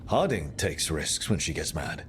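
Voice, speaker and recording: An actor playing a steady, supportive young mage who leads a band of heroes in a video game, calm and reassuring. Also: male voice, extremely low pitch, unhurried, a little hiss or hum, full character voice. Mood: encouraging. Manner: calm, reassuring, plain-spoken. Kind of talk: video game character acting.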